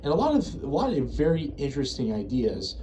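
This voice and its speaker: A man's voice: medium pitch, wildly swooping pitch, a little fast, warm tone, slight background noise, low-quality recording. An American man giving casual, unscripted commentary in a rambling, hesitant, self-correcting way. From United States. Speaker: video creator reviewing horror series.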